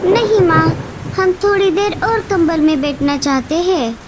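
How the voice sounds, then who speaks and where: A woman voicing a playful, pleading small cartoon bird child with drawn-out childlike delivery. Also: very high-pitched, lively intonation. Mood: pleading, eager. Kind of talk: Hindi cartoon dialogue. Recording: clearly noisy ambient background.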